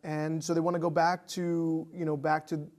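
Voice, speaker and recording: Higher-voiced man, halting casual reviewer. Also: flat delivery, a little mellow. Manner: hesitant, halting phrasing. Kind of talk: casual film review chat.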